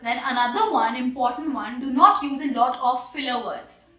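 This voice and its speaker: A woman's voice: sits high, normal speed, a bit bright, echoey room, distant mic. A woman with an Hindi-speaking Indian accent giving earnest, encouraging English lessons to viewers. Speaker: English teacher.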